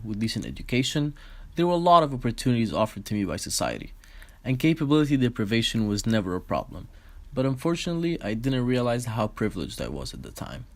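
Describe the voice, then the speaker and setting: A man's voice: fairly low pitch, close to an everyday voice. A man playing a thirty-year-old former gambler who lost everything, telling his story as an earnest scripted monologue.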